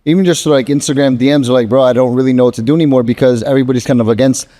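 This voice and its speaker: A man's voice: medium pitch, steady tone, rapid-fire, warm tone. A man hosting a casual interview, asking questions and reacting emphatically to his guest's answers. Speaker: interviewer host.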